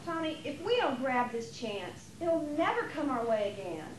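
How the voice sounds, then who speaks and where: A scheming, persuasive wife in a stage drama, acted by a woman. Her voice is high and swings widely as she presses for what she wants. The recording is a noisy, reverberant theater rehearsal tape. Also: big pitch swings, moderate pace. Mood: insistent, pleading. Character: Nora, scheming impatient wife, self-interested and persuasive. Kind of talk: amateur stage play acting.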